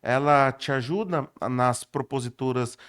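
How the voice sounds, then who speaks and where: A Brazilian man hosting a conversational podcast interview, feeling his way into roundabout questions with pauses. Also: medium pitch, fairly bright tone, clear, resonant tone.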